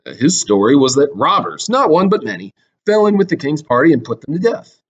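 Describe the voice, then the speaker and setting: A grave royal envoy of classical tragedy, read aloud by a man. He reports formally, in a measured, declamatory way. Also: on the high side, very animated, husky, highs cut off.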